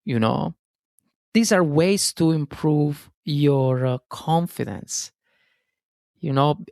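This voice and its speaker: A man's voice: medium pitch, gravelly, no echo, clean studio sound. A man with an Italian accent hosting a self-help podcast on his own, talking earnestly, often restarting phrases and adding fillers. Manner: earnest, self-correcting, frequent fillers and "guys". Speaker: podcast host.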